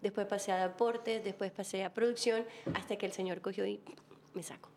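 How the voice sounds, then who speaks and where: Colombian coast woman, 40s, lively storyteller guest, light background hiss. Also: mid-range voice, fairly quick. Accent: Colombian Caribbean coast. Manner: chatty, laughs often, storytelling. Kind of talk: casual interview.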